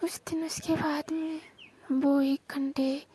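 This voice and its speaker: A young woman telling an intimate personal story in a soft, breathy voice that often drops toward a whisper. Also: high voice, little pitch movement, takes it slowly. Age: young adult. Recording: faint background noise, amateur call-style recording.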